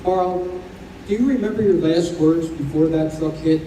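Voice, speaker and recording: A very soft-edged, slightly high male voice, an actor playing a kindly spirit guide who reassures souls. It is radio drama acting, heard in an echoey room from a little way off. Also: normal speed, close to an everyday voice. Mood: gentle, solemn. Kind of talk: old-time radio play acting. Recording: roomy live stage recording, distant, faint noise.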